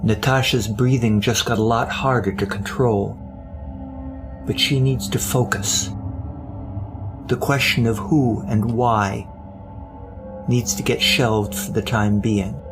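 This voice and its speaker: A man narrating a scripted audio drama with deliberate, suspenseful storytelling and wry touches. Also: medium pitch, steady tone, music in the background.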